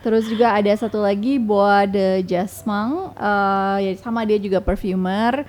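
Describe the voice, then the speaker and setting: A woman's voice: medium pitch, steady tone, measured pace, a little mellow. A woman with an Indonesian accent chatting casually and informally as a guest, dropping in English words. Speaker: guest sharing experiences and tips.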